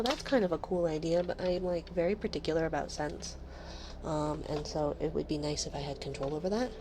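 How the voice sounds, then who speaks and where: A woman with a nasal voice chatting casually on a late-night livestream, slipping into soft whispers between easygoing remarks. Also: lowish voice, noisy background. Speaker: livestream host. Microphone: streaming microphone close up.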